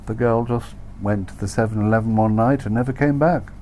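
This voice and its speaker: A man acts out a young police inspector in an audiobook. He is courteous and official, pitched above the narration, with a mellow tone and faint noise behind. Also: fairly quick, natural voice. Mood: formal questioning. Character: young red-haired British police inspector, formal and polite. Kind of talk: audiobook character dialogue.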